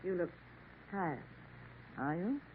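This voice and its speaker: An actress playing a sorrowful young woman in a 1950s radio drama, gentle and hesitant. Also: fairly low pitch, very animated, takes it slowly. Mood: coaxing. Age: young adult. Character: sorrowful young woman working a Paris café. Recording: tinny old radio broadcast, faint hiss.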